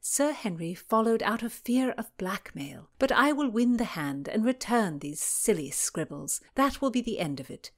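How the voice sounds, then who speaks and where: A woman voicing a shrewd, confident gentlewoman in a historical audiobook, composed and unbothered. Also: a little high, expressive, normal speed, few pauses, pitched above the performer's own voice. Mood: cool confidence. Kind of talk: audiobook character acting.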